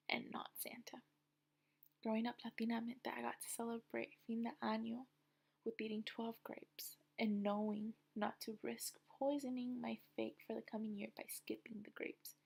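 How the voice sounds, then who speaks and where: Young woman, essay reader, soft half-whispered read, fairly high but flat pitch. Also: creaky, breathy edge. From United States. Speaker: narrator of a personal essay. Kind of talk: reading a personal essay aloud.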